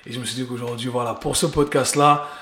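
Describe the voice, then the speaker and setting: A man's voice: medium pitch, fairly even intonation, quick pace, a bit bright. Man, twenties, podcast host, loose casual talk, English slang, echoey room. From Geneva, Switzerland. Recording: roomy echo.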